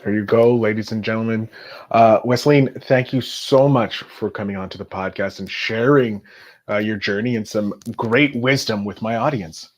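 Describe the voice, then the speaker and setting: Slightly low-voiced man hosting a podcast. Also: normal speed. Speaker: podcast host. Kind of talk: casual conversational interviewing.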